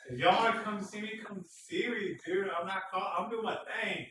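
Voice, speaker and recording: Animated man in an echoey garage. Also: high-pitched, normal speed, background noise. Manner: animated, teasing, loud bursts. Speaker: co-host of a motorcycle live stream. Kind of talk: casual joking chat. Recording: echoey garage, far from mic.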